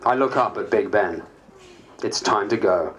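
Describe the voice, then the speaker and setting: A man's voice: lowish voice, a little slow, fairly bright tone, a little hiss or hum, low-quality recording. A man playing a weary, reflective politician, reading a diary aloud with theatrical expression.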